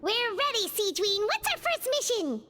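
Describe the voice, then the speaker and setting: Tiny excitable game companion, shrill and lively, bubbly delivery. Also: female voice, normal speed, heavy background noise. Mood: eager. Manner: bubbly, talks of herself by name. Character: tiny chirpy floating girl companion, eager and excitable.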